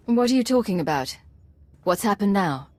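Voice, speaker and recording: An actress playing an earnest, idealistic commander in a video game, urgent and determined. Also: female voice, mid-range voice, big pitch swings, moderate pace, mellow, dry recording.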